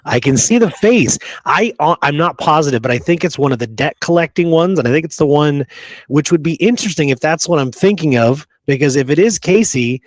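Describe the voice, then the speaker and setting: A man co-hosting a show in casual, excited, slangy conversation. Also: on the high side, brisk, no room sound. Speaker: show co-host.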